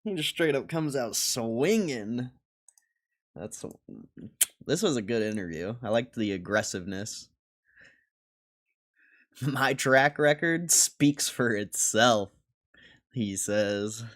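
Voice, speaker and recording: A man's voice: mid-range voice, expressive, slow, long pauses. A man hosting a livestream, reacting in casual, slangy commentary and repeating phrases for emphasis. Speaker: livestream host reacting to an interview.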